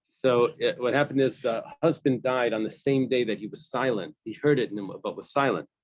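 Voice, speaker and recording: A man's voice: medium pitch, fairly quick, no room sound. A man casually leading a Talmud study session, reading phrases and explaining them. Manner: explains a text, reads Hebrew phrases and translates. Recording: dull dry lo-fi sound.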